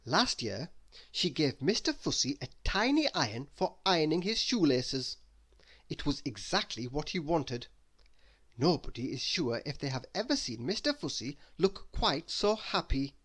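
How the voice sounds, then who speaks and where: A children's storybook narrator with a mid-range voice. The delivery is animated and expressive, with emphasis placed for young listeners. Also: medium pitch, unhurried, husky. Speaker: storybook reader. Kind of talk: children's read-aloud narration.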